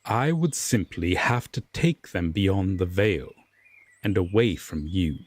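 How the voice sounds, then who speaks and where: A man playing a solemn, regretful Fae king with hidden tenderness, speaking formally and with measured pauses in an audio roleplay drama. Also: low voice, very animated, dry recording, heavily acted. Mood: solemn, grave. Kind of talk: slow audio roleplay drama addressed to the listener.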